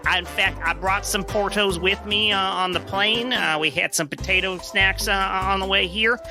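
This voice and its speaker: A man improvising a bumbling, halting tourist on a comedy podcast. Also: high voice, music in the background. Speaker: podcast co-host.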